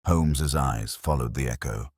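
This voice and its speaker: A man reading a first-person detective story aloud in a measured, dramatic audiobook style. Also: a bass voice, darkish tone, slightly rough, no room sound, studio quality. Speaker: narrator of detective audio fiction.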